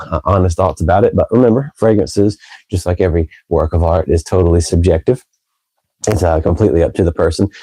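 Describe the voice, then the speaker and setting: A man with a deep, mellow voice chatting easily to his live-stream viewers. His voice sounds stuffed up and a bit funky from a cold, and he sniffles between relaxed, friendly remarks. The sound is dry and close. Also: dry recording, rough recording. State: congested from a cold. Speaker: fragrance streamer. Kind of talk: casual live-stream chat.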